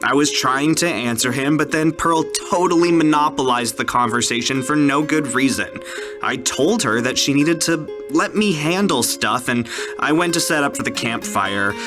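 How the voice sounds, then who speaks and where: A man's voice: medium pitch, natural voice. A man playing an earnest, jumpy young camp counselor, telling his story casually and boyishly in a horror podcast monologue.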